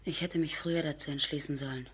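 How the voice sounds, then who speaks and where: An actress playing a grieving, resolute noblewoman in a radio play, very breathy and often near a whisper. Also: sits low, fairly even intonation, quick pace. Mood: anguished, resolute. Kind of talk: German radio play acting.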